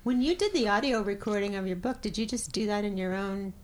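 A woman hosting an interview, relaxed and curious, with lots of casual fillers. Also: medium pitch, brisk. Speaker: interviewer host.

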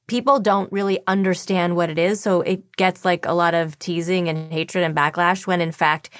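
A woman's voice: fairly low pitch, no room sound, studio quality. A woman voice actor, a guest in a casual interview, talking enthusiastically and swearing now and then.

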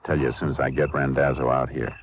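Radio western lawman: very deep, terse, calm authority; muffled old broadcast sound. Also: fast talker, a little hiss or hum. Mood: calm, businesslike. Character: tough, steady Texas Ranger lawman, calm and commanding. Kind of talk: 1950s radio drama acting.

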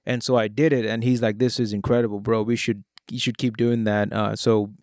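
A young Indian man, a rapper and producer who grew up speaking Hindi, answers questions in an easygoing way, filling his sentences with "you know" and "like." His accent blends Indian, British and American English, and his voice has a light gravelly edge. The recording is dry, with no room echo. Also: on the low side, fairly quick. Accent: Indian English with British and American traces. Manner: relaxed and conversational, fillers like "you know" and "like". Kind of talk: casual interview answers. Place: Oklahoma.

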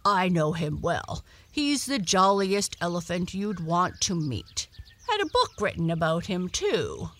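A woman voicing a gruff but kindly male dog in a children's storybook, blunt, hearty and folksy. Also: fairly low pitch, big pitch swings, unhurried. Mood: friendly.

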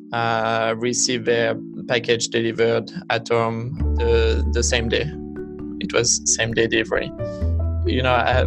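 A man from France with a French accent, in his thirties, a startup founder answering interview questions in a hesitant way, with many restarts. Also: mid-range voice.

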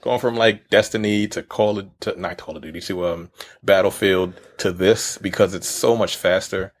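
A man's voice: deep voice, normal speed. A man co-hosting a casual podcast, laid-back, swearing freely and laughing at himself. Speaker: podcast co-host.

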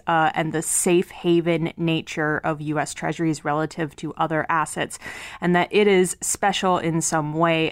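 A woman co-hosting a podcast, introducing a guest in a brisk, upbeat chat. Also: lowish voice, dry recording, studio quality. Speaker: podcast co-host. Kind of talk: casual chat.

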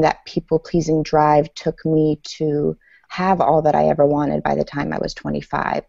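Female author guest, thirties, native Farsi, very low mellow voice, recounting her life story. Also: gravelly, no room sound. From Iran, then the United States. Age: 30s. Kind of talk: personal storytelling in an interview. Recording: dull lo-fi audio.

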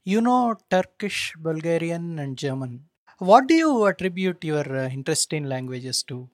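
A podcast host reading a guest introduction and asking questions, halting often with uh. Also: medium pitch, big pitch swings, no echo, studio quality. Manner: halting, with many uhs.

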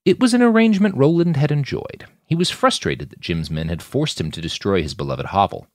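A man's voice: mid-range voice, animated, fairly quick, no room sound, studio quality. A man reading scripted fiction aloud as a narrator in an audiobook style.

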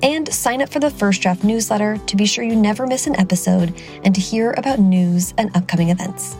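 A woman's voice: mid-range voice, fairly quick, darkish tone, soft background music. A woman hosting a podcast about writers, reading her upbeat intro and then asking interview questions. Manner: upbeat, promotional read. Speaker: podcast host.

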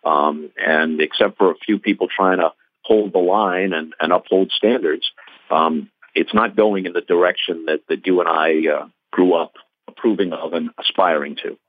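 A man's voice: low voice, fairly even intonation. Older man over a tinny phone line. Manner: wry, measured commentary. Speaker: guest. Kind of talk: casual interview.

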